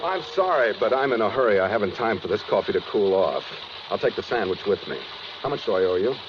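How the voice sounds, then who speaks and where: An actor playing a hurried, quietly sinister American doctor, clipped and insistent, in 1940s radio suspense style. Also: male voice, lowish voice, animated, lightly acted. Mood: impatient. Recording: noisy, muffled 1940s radio recording.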